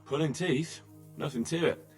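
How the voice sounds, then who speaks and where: An actor playing an earnest, polite young blacksmith who is eager to help, somewhat tentative, in a video game drama. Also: male voice, on the high side, normal speed, soft background music, natural voice.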